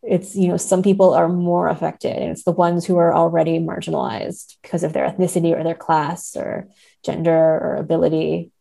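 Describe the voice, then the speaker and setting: Low, mellow-voiced woman discussing literature. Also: flat delivery, fairly quick, gravelly. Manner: hedging, analytical, often seeking agreement with "right?". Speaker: podcast guest, literature scholar.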